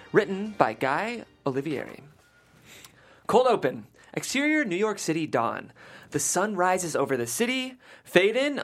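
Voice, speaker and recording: A man's voice: a little high, fairly bright tone. A man hosting and reading script directions aloud, upbeat and chatty in his presenting. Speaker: show host and stage-direction reader.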